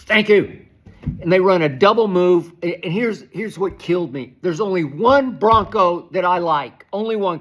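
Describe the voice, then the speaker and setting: An older man hosts a solo sports talk segment, his voice hoarse and worn from shouting. He rants with exasperation, sitting a little high in pitch, and trips over his words, repeating them as he pushes on. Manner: exasperated, ranting, halting restarts. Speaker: sports talk show host. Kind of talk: animated solo sports commentary.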